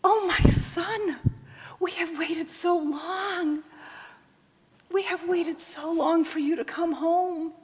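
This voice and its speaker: A woman voicing a doting, forgiving older mother who speaks softly and often in a hush, recorded with a long echo. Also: extremely high pitch, steady tone, takes it slowly, voice pushed up. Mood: tender. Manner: soft hushed tender voice, often whispering.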